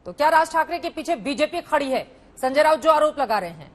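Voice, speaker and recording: A high-pitched woman anchors a live television news debate. She is pointed and insistent, pressing her guests for answers. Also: lively intonation, fairly quick.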